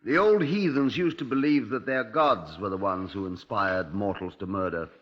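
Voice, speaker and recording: An actor playing a measured, wry British chief inspector recounting a case in a radio drama. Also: male voice, lowish voice, close to an everyday voice. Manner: measured, storytelling. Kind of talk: 1950s radio drama narration. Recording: dull old radio recording.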